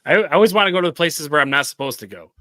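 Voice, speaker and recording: A man's voice: on the high side, animated, racing, pushed and bright, no echo, clean studio sound. An American man hosting a casual interview show in an informal, rambling way. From United States. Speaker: talk show host.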